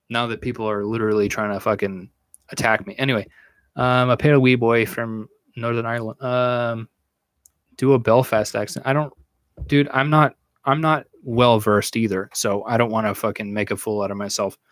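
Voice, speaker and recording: A man's voice: mid-range voice, little pitch movement, moderate pace, fairly bright tone, no room sound. A young American man hosting a podcast livestream, chatting casually with viewers in a crude, joking way and swearing a lot, recorded in a small room on a stand microphone. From United States. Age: young adult. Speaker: podcast host answering chat. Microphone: studio mic on a stand in front.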